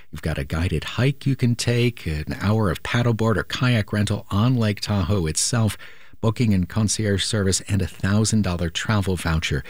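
A man's voice: on the low side, a little mellow, no room sound. A male radio host making an earnest, persuasive appeal during a pledge drive.

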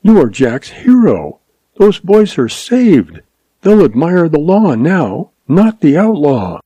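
A man voicing a gentle, earnest young woman in a western story, speaking reassuringly. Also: fairly high pitch, big pitch swings, slow pace, slightly rough, dry recording, voice pushed up, exaggerated voice. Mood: earnest gratitude.

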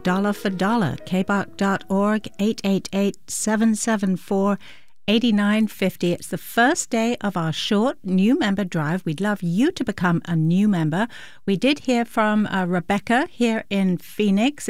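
A woman's voice: medium pitch, normal speed, no room sound, studio quality, faint music behind. Friendly woman making a radio pledge appeal. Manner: friendly, conversational, speaks straight to listeners. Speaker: classical radio co-host. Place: radio studio. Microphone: studio microphone.

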